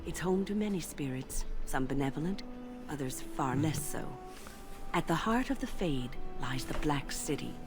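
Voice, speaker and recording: A wise, calm older mage. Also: female voice, low-pitched, over music. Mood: thoughtful. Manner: unhurried, explanatory, gently lecturing. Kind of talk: video game voice acting.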